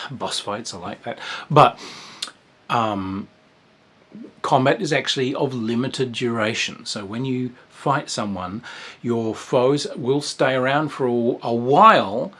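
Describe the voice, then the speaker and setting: A man giving a casual, rambling review of a tabletop game, with a wry, dark humour and plenty of fillers and restarts. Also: medium pitch, husky.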